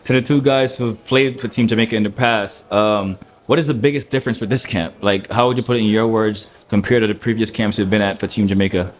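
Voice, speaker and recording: Low-voiced casual male reporter. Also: fairly even intonation, brisk, hardly any pauses. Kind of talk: asking press questions.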